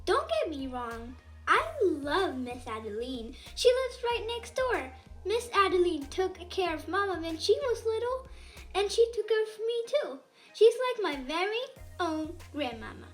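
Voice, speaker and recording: A woman reading a children's picture book aloud in an animated, expressive storytelling way. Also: very high voice, unhurried, faint music behind. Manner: animated, dramatizes dialogue.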